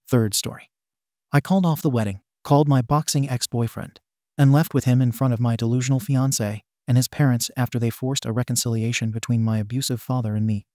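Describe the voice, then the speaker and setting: A man narrating online posts aloud in an even, steady reading voice. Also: medium pitch, no room sound, clean studio sound. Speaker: story narrator.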